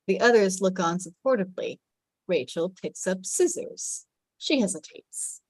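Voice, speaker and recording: A woman narrates stage directions at a script table read. Her voice sits at a middle pitch, and the sound is dry with no room echo. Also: lively intonation, a little slow.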